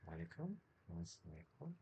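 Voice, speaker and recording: A man doing casual livestream game commentary in mumbled, halting short phrases. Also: low-pitched, sing-song, vocal fry, dark tone, a little hiss or hum. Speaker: game streamer. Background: video game music.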